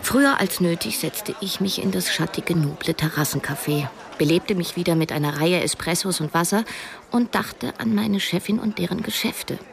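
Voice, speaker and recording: A woman's voice: lowish voice, normal speed, creaky. An actress in her early thirties playing a broke, self-doubting young woman, narrating a radio drama in a reflective, literary way. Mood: reflective, wry. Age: early 30s. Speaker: narrator and lead character. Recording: music behind the voice.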